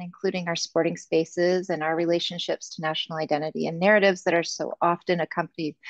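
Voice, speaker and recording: A woman host and professor giving a measured formal welcome, reading from notes on a video call. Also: lowish voice, no room sound. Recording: dull dry video call audio.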